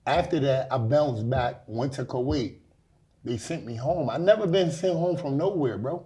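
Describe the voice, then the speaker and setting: A man's voice: medium pitch, normal speed, warm tone. A man in his forties, a talk show guest, telling his life story bluntly and candidly in a studio. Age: 40s. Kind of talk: casual talk show interview. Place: studio set.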